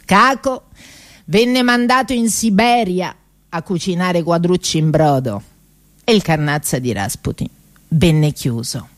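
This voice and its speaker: A woman's voice: fairly low pitch, a little slow, dry recording. A woman narrating a radio play as an actress, in a dramatic, tragicomic storytelling style. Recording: music behind the voice. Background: music.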